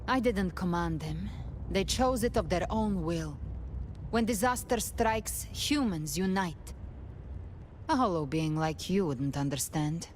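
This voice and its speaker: An actress playing a proud, battle-hardened young huntress in a game cutscene, deliberate and resolute in her delivery. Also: lowish voice, animated, a little hiss or hum. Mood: cool confidence. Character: defiant, battle-hardened young female demon hunter. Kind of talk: video game cutscene acting.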